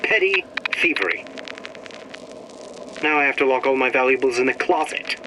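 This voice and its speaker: An actor playing a disillusioned shop owner grumbling into an audio diary in a video game, sardonic and world-weary. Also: male voice, medium pitch, normal speed, background noise, natural voice. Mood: bitter, wry.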